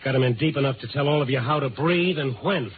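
Actor as a hard-boiled reporter, tinny old radio. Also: male voice, medium pitch, fast, background noise, close to an everyday voice. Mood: weary, bitter. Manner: hard-boiled, clipped, blunt. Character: tough, world-weary male Chicago newspaper reporter. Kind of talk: 1950s radio noir acting and narration. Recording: tinny 1950s radio recording. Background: music.

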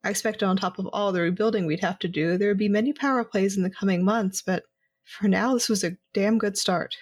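A woman's voice: mid-range voice, fairly even intonation, a little fast, a little hiss or hum. A woman narrating an audio drama in the first person, with a dry, wry manner. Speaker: narrator and character.

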